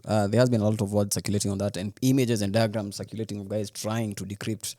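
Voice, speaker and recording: A man's voice: on the low side, little pitch movement, fast, mellow. A man co-hosting a podcast, chatting informally about sport in a teasing way. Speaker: podcast co-host. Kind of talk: casual sports chat.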